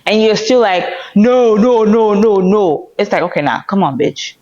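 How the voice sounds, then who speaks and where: An American woman talks casually as a podcast co-host, with a mid-range voice. She is animated and slangy, arguing her opinions through rhetorical questions. The recording is a little dull and lo-fi. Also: medium pitch, normal speed.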